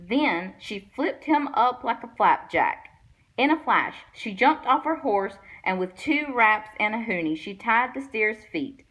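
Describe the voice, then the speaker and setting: A woman reading a children's picture book aloud with expressive storytelling delivery. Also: a little high, unhurried, dull audio. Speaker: guest read-aloud reader.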